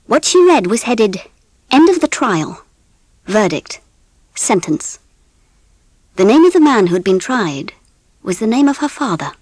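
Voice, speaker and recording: An actress playing a genteel English mother who narrates a children's story in a measured, expressive way with an English accent. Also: a little high, dry recording, clean studio sound. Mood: wistful, grave. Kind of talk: scripted audiobook narration with music.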